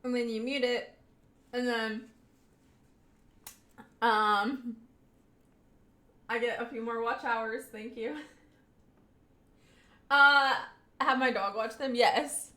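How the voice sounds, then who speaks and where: A young woman with a Southern lilt and a fairly high voice chats loosely with her live viewers. She giggles between words, and the sound carries the echo of the room she is in. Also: fairly even intonation, slow, long pauses, bright, forceful tone. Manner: chatty and giggly, often laughing mid-sentence. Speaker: live streamer. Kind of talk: casual chat with a live audience.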